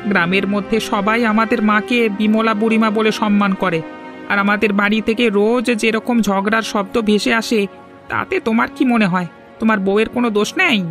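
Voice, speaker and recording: A man's voice: high-pitched, little pitch movement, fairly quick, fairly bright tone. A man voicing an earnest, protesting young farmer, a younger brother. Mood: aggrieved. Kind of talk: moral-story cartoon dubbing. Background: faint music.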